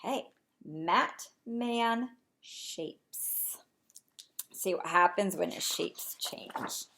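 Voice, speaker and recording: A woman preschool teacher reading a picture book aloud to young children in an expressive, sing-song way, often asking them questions. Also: medium pitch, very slow, gravelly, background noise.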